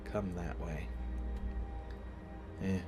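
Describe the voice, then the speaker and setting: A man with a slight cold giving casual let's-play commentary, explaining things in a deliberate, halting way. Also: low voice, fairly even intonation. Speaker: gaming video host. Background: game music.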